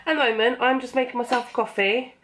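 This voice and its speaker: A woman's voice: fairly high pitch, steady tone, fast talker, a bit bright. A young woman talks straight into a camera held close at arm's length, rambling along with plenty of ums and likes. She sounds a little sniffly, as if still getting over a cold. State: a bit sniffly after losing her voice. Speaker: vlogger. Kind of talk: casual chatty vlog. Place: bedroom and small kitchen at home.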